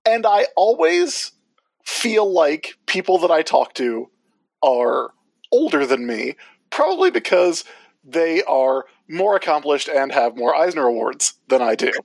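A man's voice: on the high side, big pitch swings, unhurried, vocal fry, dry recording. A man in his forties co-hosting a podcast, joking and self-deprecating in casual chat. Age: 40s. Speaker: podcast co-host.

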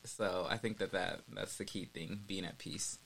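A man's voice: sits low, fairly even intonation, normal speed, creaky, a bit bright. A man in his thirties hosting a podcast interview, chatty and upbeat, often laughing. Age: 30s. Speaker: podcast host. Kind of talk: casual interview.

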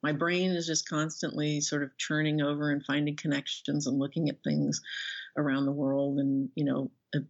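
A woman speaking casually as a guest author in an interview, thinking aloud in a rambling, self-effacing way. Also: sits low. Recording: dull lo-fi call audio.

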